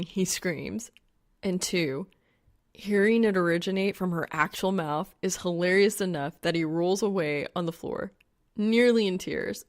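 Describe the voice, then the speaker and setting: A woman reading fan fiction as an audiobook narrator, in an expressive, scripted storytelling style. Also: medium pitch.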